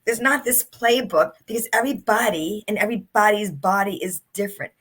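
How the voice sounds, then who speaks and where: A woman's voice: medium pitch, brisk, a bit bright, husky. A middle-aged woman, an author and speaker, telling her story as an interview guest in an earnest, reflective way.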